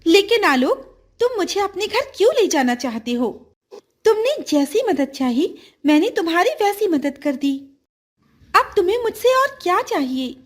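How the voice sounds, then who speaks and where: A very high, lilting female golden fish from a children's storybook, acted out by a woman narrator. She is kind and honest, speaking in a gentle, earnest and polite way. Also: big pitch swings, moderate pace. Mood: questioning.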